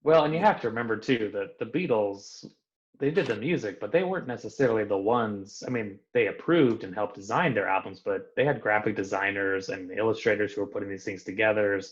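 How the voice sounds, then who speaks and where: A man's voice: fairly low pitch, a little fast. A man talking casually as a panelist on a podcast discussion. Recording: slightly dull lo-fi audio.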